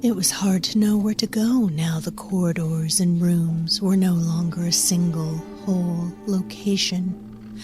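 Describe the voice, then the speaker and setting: A woman narrator tells a dark tale slowly and quietly. Her medium-pitched voice is deliberate and sits over clear background music.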